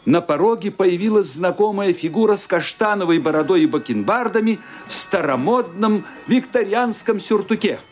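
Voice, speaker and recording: Animated, higher-pitched male narrator over music. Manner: suspenseful, deliberate phrasing with dramatic emphasis. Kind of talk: dramatic scripted radio narration.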